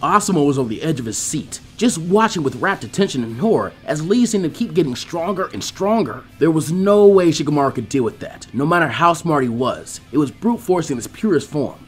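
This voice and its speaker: An expressive male audiobook narrator with a husky voice reads action fiction dramatically. His pitch sits a bit high and moves around in a lively way, with music playing behind him. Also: a little fast, hardly any pauses. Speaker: fan fiction audiobook reader. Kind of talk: scripted narration of an action story. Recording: background music under the voice.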